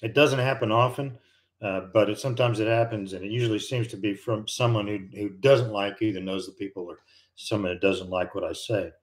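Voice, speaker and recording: Older American man, low easygoing voice. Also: fairly even intonation, a little fast. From United States. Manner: rambling, conversational, many fillers and restarts. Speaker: livestream host, retired pilot. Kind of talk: casual live-stream chat.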